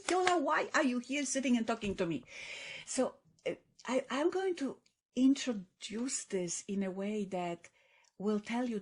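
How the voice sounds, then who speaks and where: A woman's voice: on the high side, a little mellow, breathy edge. A middle-aged woman from Poland with a Polish accent, telling her story enthusiastically as a podcast guest. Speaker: guest, coach and author. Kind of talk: podcast interview.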